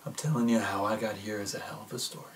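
A man's voice: on the low side, rapid-fire, a little hiss or hum, natural voice. A man performing a film monologue as an easygoing, wisecracking astronaut who coaxes and reassures in a wry, conversational way. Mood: gentle, coaxing.

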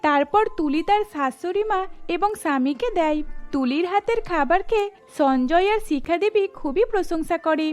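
High-voiced woman narrating a story. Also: expressive, moderate pace, a little mellow, soft background music.

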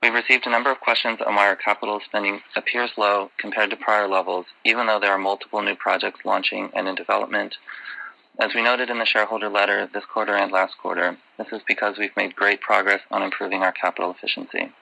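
A man delivering prepared earnings call remarks as a finance executive, in a formal, measured way. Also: fairly low pitch, little pitch movement, creaky, bright, forceful tone, low-quality recording.

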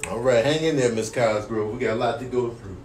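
A man playing an exasperated, teasing economics lecturer in a comic sketch, pompous and halting with drawn-out words. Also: mid-range voice, a little mellow, soft background music, close to an everyday voice. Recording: echoey room, faint noise.

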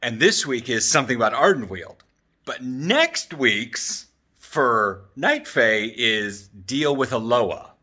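Male vlogger, thirties, bright forward voice, loose rambling chat with joking asides, handheld camera at home. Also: medium pitch, expressive, takes it slowly, slightly rough. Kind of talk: casual chatty vlog. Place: apartment living room and open kitchen. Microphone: handheld camera at arm's length.

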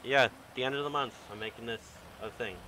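A man's voice: medium pitch, fast talker, sharp tone, a little hiss or hum, rough recording. Casual young man streaming a game. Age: young adult. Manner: casual, swears often, laughs easily. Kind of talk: casual gaming chat over voice comms.

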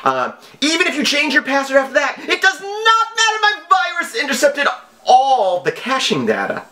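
Man doing a high-pitched melodramatic hacker villain. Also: huge pitch swings, pushed and bright, heavily acted. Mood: gloating, menacing. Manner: overwrought, exclamatory, drawn-out words. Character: melodramatic, excitable male scam-email hacker making threats.

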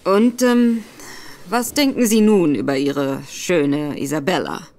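A woman voicing a sly, affable gentleman in disguise who probes with feigned forgetfulness, in a radio play. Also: fairly low pitch, takes it slowly, voice pushed down. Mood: probing, sly. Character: smooth gentleman Virgil Barker, a man, sly and probing.

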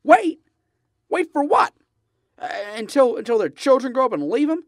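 A high male voice , an actor's, for an earnest young idealist in a radio drama. He stammers and restarts, then pushes on with emphasis. The sound is very dry. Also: sing-song, normal speed, no room sound. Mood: indignant, impassioned. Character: earnest, impassioned young man, stammering small-town idealist.

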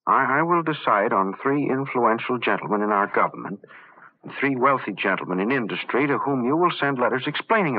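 An actor playing a smug, power-mad little man with mock-polite, halting speech in an old radio horror drama. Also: medium pitch, slightly rough, narrow, tinny audio. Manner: mock-polite, halting, taunting tag questions. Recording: band-limited vintage radio drama recording.

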